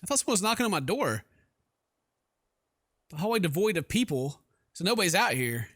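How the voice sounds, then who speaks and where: A man hosting a gaming video, reading game text aloud and commenting in a sarcastic, animated way on a close mic. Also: high-pitched, fairly quick, a little hiss or hum. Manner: sarcastic, animated when incredulous.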